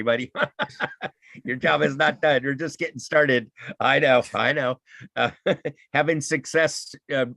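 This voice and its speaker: A man's voice: mid-range voice, vocal fry, a bit bright, dry recording. Laughing, joking man hosting a video call. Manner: chatty, laughs often, loose and joking. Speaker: panel host. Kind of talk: casual video call chat.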